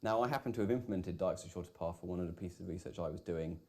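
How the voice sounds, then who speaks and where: Low, mellow-voiced man explaining calmly. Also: racing. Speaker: video presenter explaining an algorithm. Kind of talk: explanatory video.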